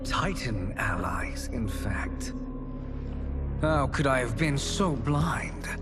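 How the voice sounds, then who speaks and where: An actor playing a smug, menacing sorcerer tyrant in a video game, with a gloating, formal delivery. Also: male voice, mid-range voice, expressive, slow pace, over loud music. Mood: smug menace. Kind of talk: cinematic video game villain acting.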